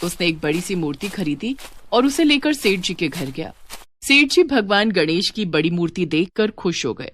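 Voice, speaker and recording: A woman narrating a moral story with a clear, even, steady storyteller's delivery. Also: fairly low pitch, moderate pace.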